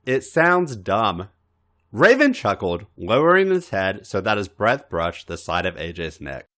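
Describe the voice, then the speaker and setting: Male podcast narrator for erotic fan fiction: lowish pitch, hugely varied intonation, music bed. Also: very animated, normal speed, a bit bright. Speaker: podcast host and story reader.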